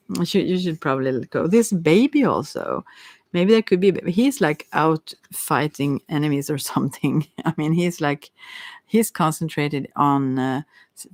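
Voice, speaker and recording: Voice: mid-range voice, no echo. Rambling, hesitant tarot reader, dry close sound. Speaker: tarot reader on a live stream. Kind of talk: casual improvised tarot reading chat.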